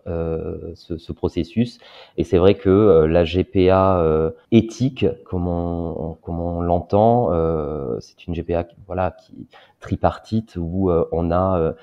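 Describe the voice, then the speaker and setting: A man in his thirties, a guest telling his story in an interview, pausing often with fillers. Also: sits low. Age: 30s. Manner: hesitant, many filler pauses. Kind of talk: personal interview talk. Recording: dull lo-fi sound.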